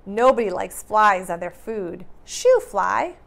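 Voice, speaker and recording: A woman's voice: mid-range voice, lively intonation, slow pace, vocal fry. A woman preschool teacher giving a read-aloud lesson for young children, with careful, simple, questioning delivery.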